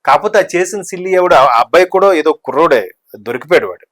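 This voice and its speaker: A man's voice: on the high side, lively intonation, quick pace, fairly bright tone. A man giving conversational political commentary, talkative and explanatory, mixing in English words. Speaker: news discussion commentator.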